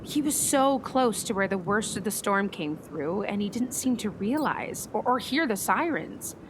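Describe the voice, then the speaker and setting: A woman's voice: mid-range voice, lively intonation, moderate pace, noisy background, natural voice. A woman playing an earnest, caring meteorologist in a naturalistic radio play, conversational and thoughtful. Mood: concerned.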